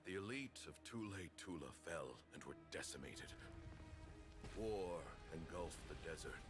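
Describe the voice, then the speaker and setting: An actor playing a weary, solemn old temple leader in a video game, speaking in a grave, deliberate, oratorical manner. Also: male voice, low-pitched. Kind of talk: dramatic video game monologue. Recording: game audio under loud music.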